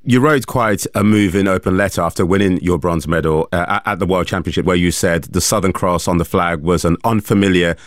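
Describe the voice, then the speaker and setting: A man hosting a radio interview, asking measured, clear questions into a studio microphone. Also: deep voice, no room sound. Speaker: radio host.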